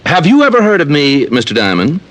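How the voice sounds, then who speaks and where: A haughty men's tailor from an old radio play. He has a sharp, forceful voice with very animated pitch, and he picks each word with pompous, fussy disdain. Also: a little fast, poor audio. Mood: haughty, sarcastic. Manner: pompous, disdainful, precise wording. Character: haughty, fussy male owner of fashionable tailoring store. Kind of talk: old-time radio drama acting.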